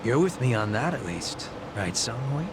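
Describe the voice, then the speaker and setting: An actor playing a streetwise, principled former gang boss in a video game, casual and persuasive over background noise. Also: male voice, medium pitch, big pitch swings, moderate pace. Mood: firm, persuasive. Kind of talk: English video game voice acting.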